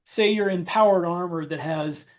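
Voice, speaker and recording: Male podcast co-host, voice a bit high, casual explanatory delivery with pauses mid-thought. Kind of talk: casual discussion of writing military science fiction.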